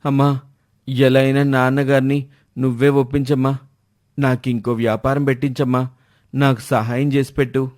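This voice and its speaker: A man's voice: mid-range voice, little pitch movement, moderate pace, long pauses, darkish tone, lightly acted. Man playing a hapless, plaintive grown son. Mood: begging. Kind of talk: moral story character voice.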